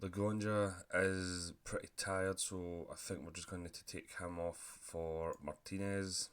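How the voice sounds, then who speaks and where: Male gaming commentator, deep voice, sore throat, relaxed chatty delivery with frequent throat-clearing. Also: unhurried. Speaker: gaming YouTuber. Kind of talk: casual commentary over a football management game.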